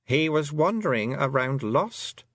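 A man's voice: on the high side, wildly swooping pitch, a bit bright, no echo, clean studio sound, pitched above the performer's own voice, full character voice. A man voicing a haughty, silky fairy queen. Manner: silky, condescending, drawn-out.

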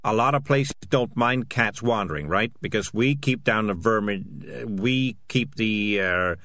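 A man's voice: fairly low pitch, measured pace, a bit bright. A man voicing a shifty, self-serving male cat in a storybook, hesitant and evasive with stammered excuses. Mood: uneasy.

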